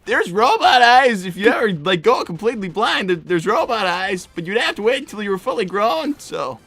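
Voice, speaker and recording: A performer voicing an excitable young fox boy, a flustered older brother who stammers and argues on an in-character gaming livestream. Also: mid-range voice, normal speed, no room sound, faint music behind. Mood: awkward, earnest. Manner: stammering, exasperated, argumentative.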